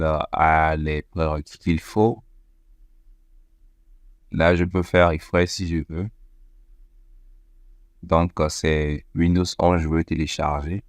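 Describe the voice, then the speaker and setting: A man narrating a step-by-step software tutorial over a screen recording, methodical, with frequent hesitation fillers. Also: deep voice, no room sound, clean studio sound. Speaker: tech tutorial presenter.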